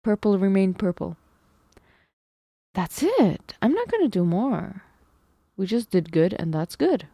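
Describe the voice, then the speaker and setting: A woman's voice: medium pitch, sing-song, unhurried, long pauses, mellow, dry recording, studio quality. A woman live-streaming, thinking aloud over math problems, second-guessing herself and chatting with viewers. She feels her voice is going. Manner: talks to chat, muses and second-guesses herself.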